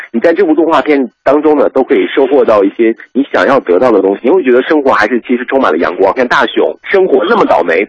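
Fast, animated man with a husky voice. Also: mid-range voice, no echo, highs cut off. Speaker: radio host. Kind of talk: casual explanatory radio commentary on animation.

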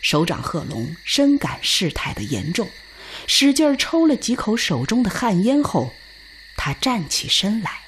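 A woman giving solemn, expressive scripted narration for a Chinese radio drama. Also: medium pitch, unhurried, background noise.

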